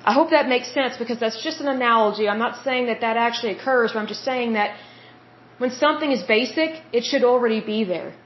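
A woman's voice: a little high, a little fast, slight background noise. An American woman hosting a podcast solo, giving opinionated commentary in an emphatic way that repeats points for stress. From United States. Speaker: podcast host. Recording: roomy, slightly dull audio.

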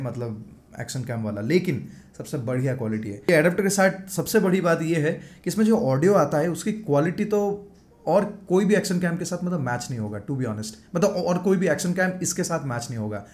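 A man's voice: fairly high pitch, a little mellow. A man in his thirties with an Indian accent, chatting casually in a home room as a moto vlogger reviewing action cameras, switching between Hindi and English. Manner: chatty, mixes Hindi and English, shows gear while talking. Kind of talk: casual gear review vlog. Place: home gaming room, indoors. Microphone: large foam mic on desk in front.

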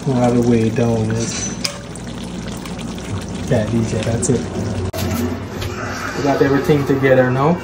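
A man talks slowly and easily at the stove, leaning on "you know" and "see" as he cooks. His voice sits at an ordinary middle pitch. Loud frying and sizzling fills the small kitchen behind him on the phone recording. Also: steady tone, heavy background noise. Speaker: home cook. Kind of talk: casual cooking narration. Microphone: phone camera held over the pan.

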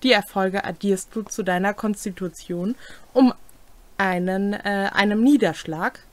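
A woman's voice: medium pitch, measured pace, no room sound. A young woman co-hosting, reading tabletop game rules aloud and chatting casually. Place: small home room, indoors.

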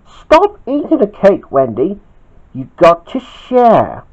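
Storybook party boy, bossy and easily upset, emphatic, very swooping pitch, lo-fi sound acted by a narrator. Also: huge pitch swings, takes it slowly, exaggerated voice. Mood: cross. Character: cross, sad, bossy boy party host Bobby. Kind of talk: children's story character voice. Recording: dull lo-fi recording.

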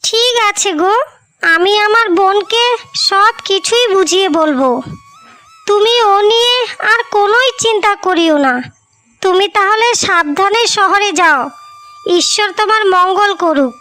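A woman's voice: very high voice, a little slow, bright, forceful tone, heavily acted. A woman voicing an earnest, sorrowful young married village sister in a cartoon story, with a pleading delivery. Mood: earnest, concerned.

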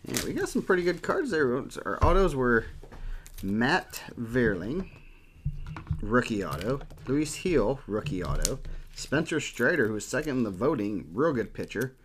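Man, forties, casual show host, animated intonation, faint background noise, clicks. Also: mid-range voice, unhurried. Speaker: host of a card-opening show. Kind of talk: casual chat while showing cards. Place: small room at a desk, indoors.

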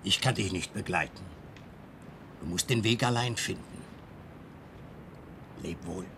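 Radio drama guardian: gentle, protective, lowish voice, slow delivery, rumble behind. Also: male voice. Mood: tender, resigned. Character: gentle fairy-tale helper, protective and fond. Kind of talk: radio play acting. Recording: rumbling background noise.

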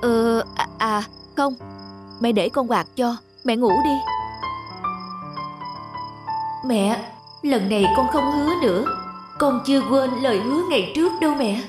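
A woman's voice: fairly high pitch, normal speed, music in the background, close to an everyday voice. A woman voicing an earnest, devoted young son in a cartoon. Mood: remorseful. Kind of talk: cartoon dubbing.

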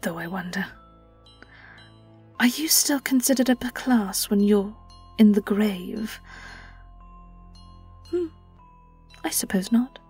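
Vengeful female vampire for audio roleplay, played by a woman: British, husky whispering, slow, theatrical, mocking. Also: medium pitch, expressive, darkish tone, faint music behind, exaggerated voice. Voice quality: breathy, often whispered. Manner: taunting, theatrical, lingering on words. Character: vengeful young female vampire, sly, gloating and predatory. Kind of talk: dramatic audio roleplay monologue.

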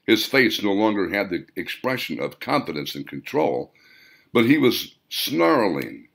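Male narrator, low voice, very varied intonation, theatrical audiobook reading, deliberate. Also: big pitch swings, vocal fry, a bit bright. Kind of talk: dramatic scripted narration.

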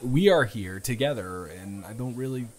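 A man's voice: mid-range voice, expressive, moderate pace. A young man improvising a first-person date roleplay, teasing and rambling with frequent restarts, recorded close on a home studio mic. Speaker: audio roleplay performer.

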